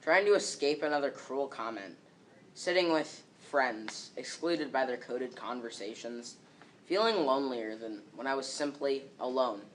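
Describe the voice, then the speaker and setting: A man's voice: mid-range voice, normal speed, low-quality recording. A male student performer reciting a scripted readers theater piece. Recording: faint background noise.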